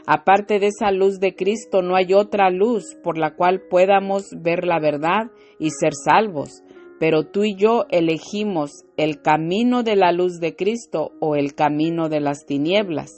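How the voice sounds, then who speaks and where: A woman with a low voice delivers a slow, fervent devotional sermon, sprinkling in hallelujahs and amens. Her throat sounds slightly irritated. Soft instrumental music plays faintly underneath. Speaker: preacher. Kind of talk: devotional sermon with scripture reading.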